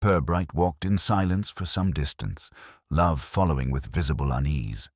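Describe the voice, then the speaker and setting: Very deep-voiced male storyteller. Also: moderate pace, no echo, clean studio sound. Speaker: narrator of a detective story. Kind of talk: scripted audiobook narration.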